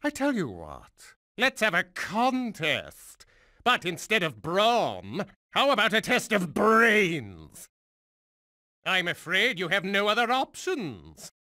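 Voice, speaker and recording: An actor voicing a megalomaniac mutant genius supervillain in a video game, condescending, mocking and theatrical like a cruel schoolmaster. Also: male voice, high-pitched, huge pitch swings, takes it slowly, sharp tone, no echo, clean studio sound, full character voice. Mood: smug, taunting. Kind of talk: theatrical cartoonish game voice acting.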